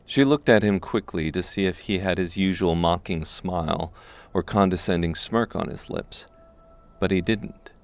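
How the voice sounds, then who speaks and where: A man narrating a scripted dark fantasy audiobook. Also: low-pitched, moderate pace.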